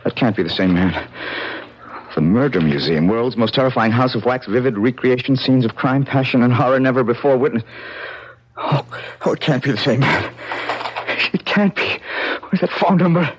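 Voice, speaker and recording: An actor with an American accent playing an excitable, haunted struggling painter in a radio drama, in a theatrical manner. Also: male voice, mid-range voice, normal speed, long pauses, a little hiss or hum, natural voice. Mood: alarmed, then agitated, insistent. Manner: emotional, theatrical. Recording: dull lo-fi vintage radio recording.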